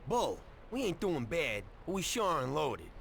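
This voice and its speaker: An actor playing a greedy, chummy small-time American mobster in a 1930s crime game, with slangy, cajoling streetwise talk. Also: male voice, a little high, very animated, normal speed, creaky, fairly bright tone, slight background noise, exaggerated voice. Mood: persuasive, defensive. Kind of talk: video game cutscene acting.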